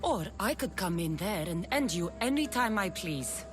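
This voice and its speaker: A woman's voice: lowish voice, expressive, moderate pace, close to an everyday voice. An actress voicing a ruthless young woman cult champion in a game cutscene, cold and taunting. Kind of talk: video game cutscene acting. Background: game score music.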